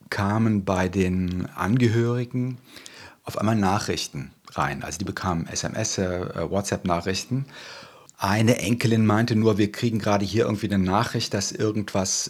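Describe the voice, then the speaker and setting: A man answering interview questions in a thoughtful way, often pausing and searching for words. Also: fairly low pitch, husky. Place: Berlin.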